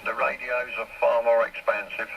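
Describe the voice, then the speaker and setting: A man's voice: mid-range voice, little pitch movement, moderate pace, gravelly, lo-fi sound. A man chatting casually over a two-way radio, explaining things step by step in a plain-spoken way. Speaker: amateur radio operator. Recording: noisy two-way CB radio transmission.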